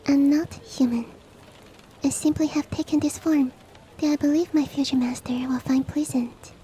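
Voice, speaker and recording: A woman playing a polite, devoted shapeshifting monster-girl maid in an ASMR roleplay, speaking softly and often in a whisper, with formal, gently earnest courtesy. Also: high voice, steady tone, normal speed, close to an everyday voice. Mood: polite, eager. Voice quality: soft, breathy, often whispered. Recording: faint ambient rain noise behind. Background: soft rain ambience.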